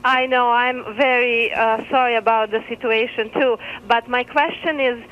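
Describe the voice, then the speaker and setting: A woman's voice: a little high, fairly even intonation, normal speed. A woman from Serbia calling in to a talk show, hesitant and full of fillers as she asks her question. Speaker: caller. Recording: dull phone line.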